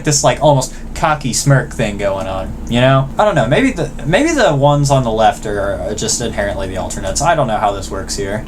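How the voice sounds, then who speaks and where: A man hosting casual reaction commentary, joking and self-deprecating, with loose, sweary phrasing. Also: medium pitch. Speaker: video host.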